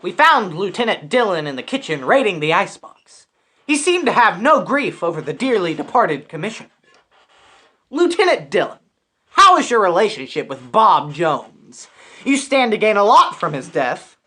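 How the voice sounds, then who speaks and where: A woman playing a blunt, wry detective in a scripted radio parody, with a deadpan, theatrical delivery. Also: medium pitch, normal speed, slightly rough. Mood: dry, probing. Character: blunt, wry detective narrating a murder investigation.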